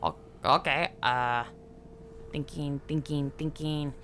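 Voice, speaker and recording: A woman's voice: sits low, steady tone, slow, a bit bright, a little hiss or hum. A woman chatting casually as a player in an improvised tabletop game.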